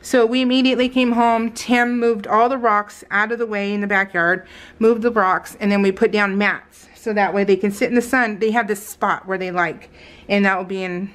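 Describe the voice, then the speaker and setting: American lady craft vlogger, medium pitch, chatty and rambly, acts out quotes as she tells stuff. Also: moderate pace. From California, United States. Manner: rambling, animated retelling with acted-out quotes. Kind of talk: casual chat while crafting, voiced in real time.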